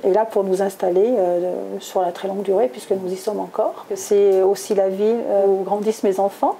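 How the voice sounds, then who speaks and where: A woman's voice: mid-range voice, little pitch movement, moderate pace, dark tone, slight background noise. A middle-aged woman from southwest France answering interview questions conversationally, with many hesitations and self-corrections. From Toulouse, southwest France. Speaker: interviewee, executive recruiter.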